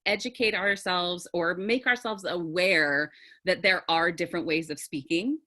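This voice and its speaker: A woman theatre teacher with a low, authoritative register, explaining things thoughtfully in an online group discussion. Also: unhurried, sharp tone. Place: video call.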